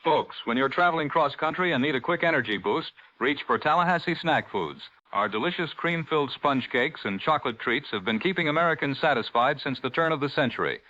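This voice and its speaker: A polished 1940s radio newsman, bright forceful voice, formal, dry dull old radio sound. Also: fairly high pitch, expressive, fairly quick, few pauses, slight background noise. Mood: upbeat, selling. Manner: polished, formal announcer delivery. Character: 1940s radio newsman and sponsor pitchman, authoritative man. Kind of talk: 1940s radio news and ad reading.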